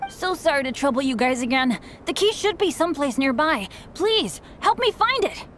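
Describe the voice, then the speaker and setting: A woman's voice: sits high, big pitch swings, moderate pace, background noise. A woman voicing an earnest, eager teenage boy adventurer in a video game, boyish and apologetic in manner. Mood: excited, urgent. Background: game music.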